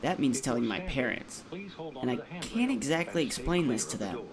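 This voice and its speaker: A man's voice: medium pitch, very animated, moderate pace, crowd noise. A man playing an earnest, anxious schoolboy hero in an audiobook drama. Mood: casual, awkward.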